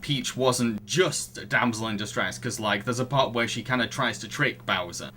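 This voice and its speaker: A man's voice: medium pitch, moderate pace, pushed and bright, a little hiss or hum. A young man hosting a casual film discussion podcast. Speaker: podcast host.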